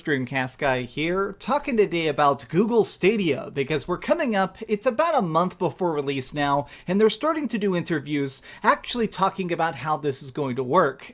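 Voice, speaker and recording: Gaming youtuber guy, downbeat and earnest, voice moves up and down a lot. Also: fairly high pitch. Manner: downbeat, earnest, reads out quotes. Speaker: gaming video creator. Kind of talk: video commentary clip played in a reaction.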